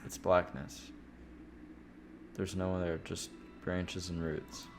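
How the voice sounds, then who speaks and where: A man's voice: low voice, little pitch movement, slow, noisy background. A man streaming a visual novel, reading its horror narration aloud expressively and dropping in casual asides. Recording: loud music under the voice. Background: game music.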